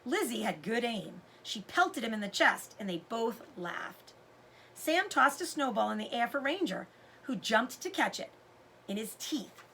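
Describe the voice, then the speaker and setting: A woman giving an expressive read-aloud narration of a children's novel. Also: a little high, a little hiss or hum.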